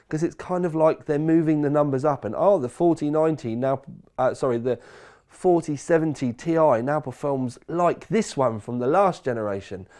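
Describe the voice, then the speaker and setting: A man with a croaky voice hosting a casual tech review, talking in a rambling, conversational way and correcting himself as he goes. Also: on the high side, a little mellow. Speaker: tech reviewer, channel host. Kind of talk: casual chatty product review.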